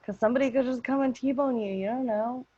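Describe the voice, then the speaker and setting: A woman's voice: fairly high pitch, brisk, warm tone, slightly rough, no echo, highs cut off. A young American woman chatting casually, with slangy, freely swearing talk. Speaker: podcast cast member. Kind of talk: casual chat.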